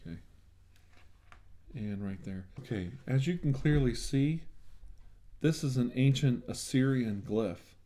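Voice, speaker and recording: A man's voice: mid-range voice, a little slow, long pauses, dark tone. A man doing an ASMR roleplay as a medical examiner, talking in a reassuring, attentive bedside manner.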